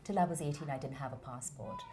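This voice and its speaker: A woman from India, giving earnest, measured and passionate answers in an interview. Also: very deep voice, warm tone. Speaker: interview guest, founder of a theatre academy.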